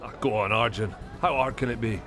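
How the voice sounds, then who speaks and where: A man's voice: lowish voice, brisk, over music, crowd noise, close to an everyday voice. An actor playing an easy-going, cheeky young village man with a British accent in a radio soap. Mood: teasing. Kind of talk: naturalistic radio soap acting.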